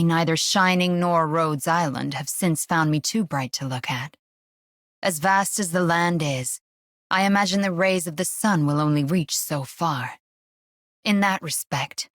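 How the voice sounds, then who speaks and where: An actress playing a noble, steadfast woman knight in a video game, speaking in a formal, measured way. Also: low voice, no echo, clean studio sound. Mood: calm, resolute. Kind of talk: video game character voice acting.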